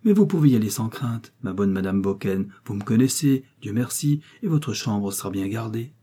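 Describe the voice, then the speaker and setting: A man's voice: lowish voice, lively intonation, moderate pace, warm tone, breathy edge. A man voicing a sly, chattering woman neighbor who talks in a fussy, pleading, effusive way.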